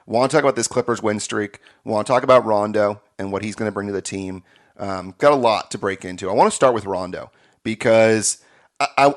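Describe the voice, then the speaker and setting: A man hosting a casual sports podcast, energetic and quick to laugh. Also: on the low side. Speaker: podcast host.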